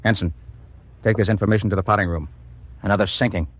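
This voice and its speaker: An actor playing a navy radio-room officer who speaks in a clipped, official manner. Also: male voice, fairly low pitch, steady tone, quick pace, no echo, close to an everyday voice. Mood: curt, businesslike.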